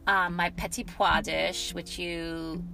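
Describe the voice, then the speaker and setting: A woman's voice: on the low side, level tone, slow pace, creaky, over music. A woman talking casually and enthusiastically as a cookbook author, rambling with frequent ums and asides. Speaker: cookbook author and home cook. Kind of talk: casual chatty food vlog.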